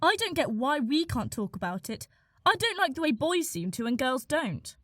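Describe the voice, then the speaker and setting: Opinionated young woman, played by an actress, emphatic, blunt, lively high voice, radio play dialogue. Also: normal speed, no room sound, clean studio sound. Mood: impassioned.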